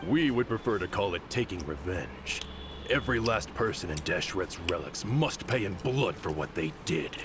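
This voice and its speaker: An actor playing a gruff, bitter but loyal gang henchman in a video game, with noisy ambience behind him. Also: deep voice, expressive, moderate pace. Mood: vengeful.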